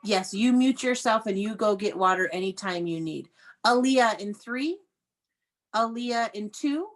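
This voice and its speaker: A woman's voice: medium pitch, lively intonation, a little slow. An American woman teaching young children in an interactive read-aloud over a video call, praising and prompting them with questions. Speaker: elementary school teacher.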